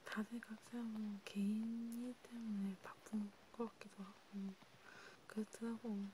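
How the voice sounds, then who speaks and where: Soft, slow, whispery ASMR woman. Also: mid-range voice, little pitch movement, noisy background. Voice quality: soft, breathy, often whispered. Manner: gentle, hesitant, murmured with long pauses. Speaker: ASMR host. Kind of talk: ASMR roleplay, soft intimate one-sided chat.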